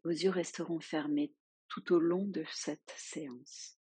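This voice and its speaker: Woman, yoga nidra teacher, very slow pace, soft husky tone, soothing guided meditation, long pauses. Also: low-pitched, fairly even intonation, no echo.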